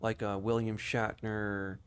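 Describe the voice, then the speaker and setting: A man with a fairly low voice gives casual commentary as a game streamer. He talks in a rambling, conversational way. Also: flat delivery, takes it slowly, slight background noise.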